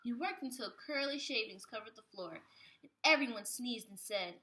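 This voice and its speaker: A woman reading a picture book aloud to young children like a preschool teacher, with expressive, animated phrasing. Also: fairly high pitch, moderate pace.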